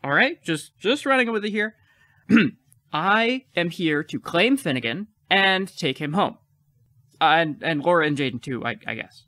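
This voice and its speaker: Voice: mid-range voice, animated, a little slow, fairly bright tone, no room sound, clean studio sound. An actor playing an awkward, nervous but determined fifteen-year-old, stammering through an audio drama. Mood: flustered, brave. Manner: stammering, hesitant.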